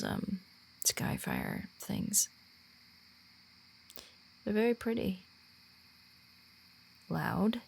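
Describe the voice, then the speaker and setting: A woman playing a shy, earnest werewolf who guides a newcomer in a roleplay audio, speaking softly and haltingly. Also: lowish voice, creaky, slight background noise, full character voice. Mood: wistful, shy. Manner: hesitant, halting, awkward when personal. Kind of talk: roleplay audio drama, softly spoken to the listener.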